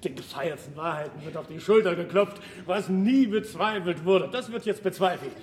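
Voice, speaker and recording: A man's voice: sits high, moderate pace, a little mellow, close to an everyday voice. A man playing an exuberant, impatient scholar and teacher, declaiming emphatically in a classic radio play. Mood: enthusiastic, visionary. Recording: roomy sound with faint noise.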